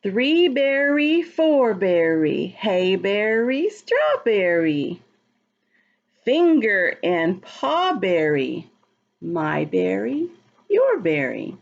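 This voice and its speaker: A woman reading a picture book aloud to children in a teacherly, expressive, sing-song way, explaining and asking questions as she goes. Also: mid-range voice, very slow, gravelly, lo-fi sound. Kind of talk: read-aloud storytime with asides to children.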